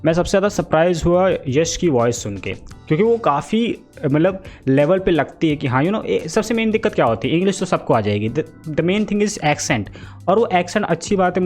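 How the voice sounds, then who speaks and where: A young man talks through his thoughts in a loose, wandering vlog style, dropping English phrases and fillers as he goes. His voice sits a little high, with faint music playing under it. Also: darkish tone. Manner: musing, mixes English into Hindi, thinks aloud with fillers. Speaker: film vlogger. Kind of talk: casual Hinglish vlog commentary.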